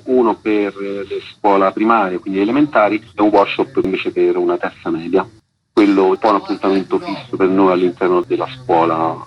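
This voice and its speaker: A man's voice: on the low side, muffled recording. A man speaking informally as a guest in a radio interview. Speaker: festival artistic director, interview guest.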